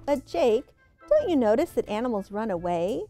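A woman's voice: a little high, sing-song, moderate pace, warm tone, faint music behind. A woman voicing a pair of small, worried songbirds asking questions in a bedtime story. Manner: concerned questioning.

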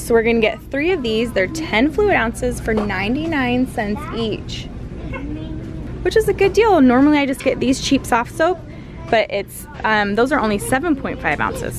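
A woman in her thirties chatting casually while she films her grocery shopping in a large store, reading out prices. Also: a little high. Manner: chatty, animated, pricing items aloud. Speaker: family vlogger. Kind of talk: casual grocery haul vlog. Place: large store aisle indoors. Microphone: handheld camera at arm's length.

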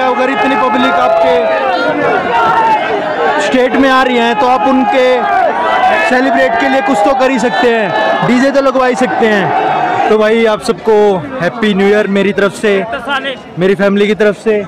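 A young man vlogging on a crowded street at night, talking loudly and excitedly into a handheld phone. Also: very high voice. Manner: loud, excited, chatty. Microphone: phone camera at arm's length. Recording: loud crowd noise outdoors. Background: cheering crowd.

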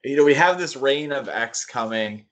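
A man's voice: medium pitch, animated, moderate pace, a bit bright. A man co-hosting a podcast, giving critical opinions in a casual discussion of comics. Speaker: podcast co-host.

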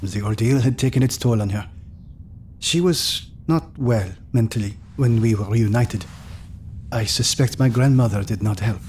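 An actor playing a reserved grown son in an audio drama, recalling his parents in a measured, guarded way. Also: lowish voice, a little mellow, slight background noise, close to an everyday voice. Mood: wistful.

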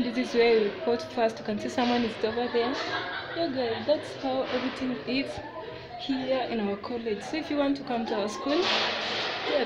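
A young woman from Kenya giving a casual walking tour of her college, talking to viewers as guys while filming on her phone indoors. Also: on the high side. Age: young adult. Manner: addresses viewers as guys, chatty and repetitive. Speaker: student vlogger. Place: college corridors and classrooms, indoors. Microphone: handheld phone camera. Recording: phone recording with loud crowd noise. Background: noisy crowd of students.

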